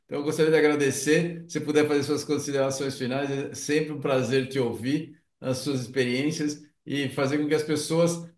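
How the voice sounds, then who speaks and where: A man's voice: a little high, fairly even intonation, normal speed, hardly any pauses, darkish tone, distant mic. A man with a Brazilian accent, hosting an interview in a casual, conversational way. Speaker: interview host. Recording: roomy echo, away from the mic.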